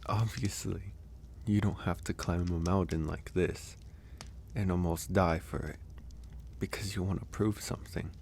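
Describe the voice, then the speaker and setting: Gentle male werewolf acted by a man, ASMR roleplay. Very low voice, thoughtful and reassuring, noticeable background noise. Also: steady tone, unhurried, gravelly. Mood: sincere, reassuring. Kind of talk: intimate ASMR audio roleplay.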